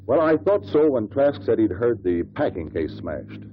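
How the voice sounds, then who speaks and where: An actor playing a shrewd, relentless investigator who questions witnesses deliberately in a 1950s radio drama. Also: male voice, lowish voice, sing-song, a little hiss or hum. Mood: calm, explanatory. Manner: deliberate, probing courtroom questioning. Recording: muffled vintage 1950s radio recording.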